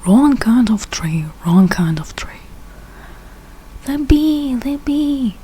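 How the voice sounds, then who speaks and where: A woman reading a rhyming children's storybook aloud in short, deliberate phrases with pauses. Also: high voice, expressive, mellow, slightly rough. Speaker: read-aloud reader learning English.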